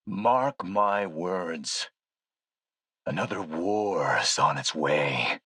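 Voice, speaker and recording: Slow, defiant warlord, low and dry. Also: male voice, animated, breathy edge, no room sound, full character voice. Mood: defiant, ominous. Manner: deliberate, forceful, speechifying. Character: defiant, menacing warlord making a political speech. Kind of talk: scripted game voice acting, speech.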